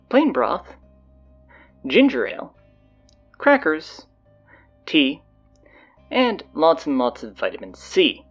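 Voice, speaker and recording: Woman playing low-voiced, sardonic, bossy schoolgirl, very animated. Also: vocal fry, husky, dull audio, heavily acted. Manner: blunt, exasperated, sarcastic. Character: bossy, sardonic teenage high school girl taking over announcements. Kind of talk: audio drama, casual school announcements.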